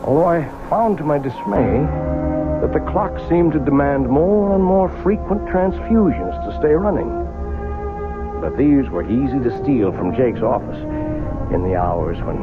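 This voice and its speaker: A man's voice: medium pitch, animated, unhurried, natural voice. An actor playing an obsessive, secretive, irritable shopkeeper who tells his own eerie tale in an intense old-time radio horror style. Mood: brooding, secretive. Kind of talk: old-time radio horror acting, dramatic first-person narration. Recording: muffled old radio recording with music.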